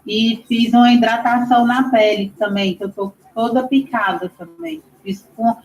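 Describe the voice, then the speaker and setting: A woman's voice: on the high side, a little fast, a little mellow. A woman chatting casually in a playful, teasing way. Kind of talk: casual chat. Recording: muffled, echoey room sound.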